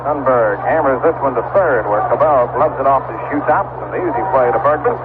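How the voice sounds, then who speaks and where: A man doing unhurried, descriptive play-by-play commentary as a radio baseball announcer, pausing between phrases. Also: medium pitch. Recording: vintage narrowband radio broadcast, loud background noise.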